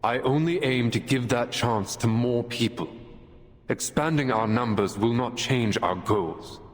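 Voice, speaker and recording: An actor playing an earnest, resolute military officer in a game, making a measured, formal and persuasive case to a tribunal. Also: male voice, medium pitch, close to an everyday voice. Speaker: soldier defending himself at a tribunal. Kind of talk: dramatic game voice acting. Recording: long hall reverb, music behind.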